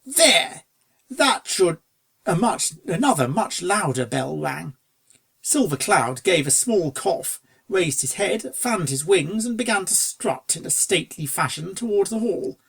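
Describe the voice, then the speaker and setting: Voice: mid-range voice, animated, unhurried, creaky. A narrator reading a scripted story aloud. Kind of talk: scripted narration of fan fiction.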